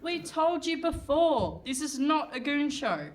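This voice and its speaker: A woman playing a brisk, impatient narrator in a comic radio play. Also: high-pitched, very animated. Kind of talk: comic scripted narration before live audience.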